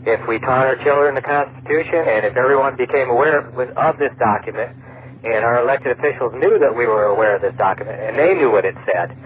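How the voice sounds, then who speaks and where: American man, radio caller, hesitant, medium pitch, phone-like narrow sound, faint noise. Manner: hesitant, searching for words. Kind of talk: unscripted call-in opinion. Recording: noisy narrow phone line over shortwave radio.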